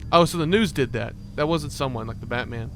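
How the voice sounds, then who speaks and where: A man's voice: medium pitch, fairly quick, a bit bright, slight background noise. A man giving casual, slangy reaction commentary, thinking aloud, exclaiming and reading text off a screen. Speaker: reaction video host. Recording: music playing behind the voice.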